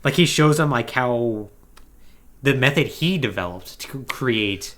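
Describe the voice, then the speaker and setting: A man with a mid-range voice hosts a casual podcast. His pitch moves around a lot as he retells a story in an animated, conversational way. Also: unhurried. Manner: animated, conversational, acting out paraphrased dialogue. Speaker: podcast host.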